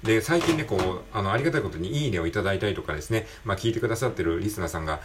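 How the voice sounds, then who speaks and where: A man's voice: on the low side, expressive, very fast, background noise. A man hosting a solo show with an improvised casual monologue, rambling and self-deprecating as he digresses. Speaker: solo audio show host.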